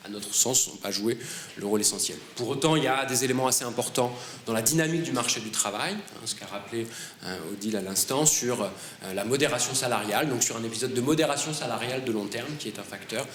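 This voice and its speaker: Guy talking slow and halting at a panel, lots of euh, echoey hall. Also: medium pitch, a bit bright. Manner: hesitant, many fillers and restarts. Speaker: award-winning author. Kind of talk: panel presentation. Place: conference hall. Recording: roomy hall with faint noise.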